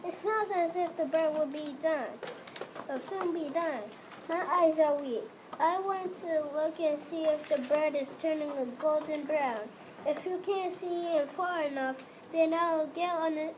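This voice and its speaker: A woman's voice: high-pitched, noisy background, voice pushed up. A female reader voicing a gnarled old witch woman in a storybook, bossy and wheedling, with a thin voice. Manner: commanding, wheedling.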